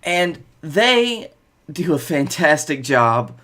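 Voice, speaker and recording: A man's voice: a little high, sing-song, takes it slowly, pushed and bright. A man in his twenties chatting casually as a podcast co-host. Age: 20s. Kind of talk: casual banter about wrestling. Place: bedroom, on a video call.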